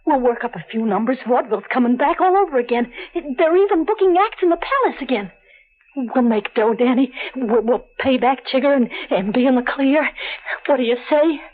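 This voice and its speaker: Desperate young wife, shrill and pleading, phone-thin broadcast sound. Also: female voice, expressive, brisk. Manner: pleading, rough working-class talk. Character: frightened young wife of a crook, tearful. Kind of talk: 1940s radio acting. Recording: tinny old radio broadcast.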